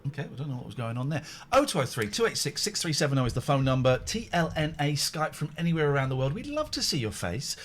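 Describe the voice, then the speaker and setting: A man's voice: fairly high pitch, very animated, fast, few pauses, a little hiss or hum. A man hosting a late-night call-in show, chatting casually and rambling between jokes. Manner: chatty, rambling, jokey, self-deprecating. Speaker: radio show host.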